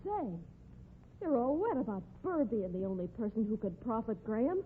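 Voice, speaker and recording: Pert, high-voiced jealous rodeo gal. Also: female voice, very animated, normal speed. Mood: accusing. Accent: American. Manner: pert, insistent, slangy. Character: jealous, accusing young rodeo woman. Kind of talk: old-time radio drama acting. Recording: noisy, tinny old radio recording.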